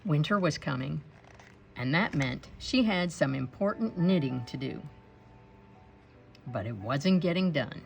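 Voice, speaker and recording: Woman storybook narrator: very unhurried, lowish voice with a gravelly edge, expressive delivery for young children. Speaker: storybook read-aloud reader.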